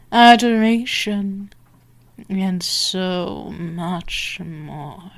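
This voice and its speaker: A woman performs a possessive female vampire for an ASMR roleplay. She speaks very slowly with a gravelly fry at a medium pitch, teasing and taunting, sliding from breathy whispers into sharp, commanding jabs. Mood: gloating, sadistic.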